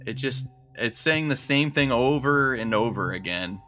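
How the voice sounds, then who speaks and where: A man's voice: medium pitch, expressive, normal speed, music in the background. A man chatting casually as a guest in a podcast skit.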